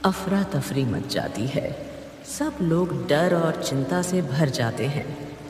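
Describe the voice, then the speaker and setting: A woman's voice: sits low, lively intonation, takes it slowly, a little mellow. A woman narrating a scripted story in a dramatic storytelling style. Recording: echoey reverb over faint music.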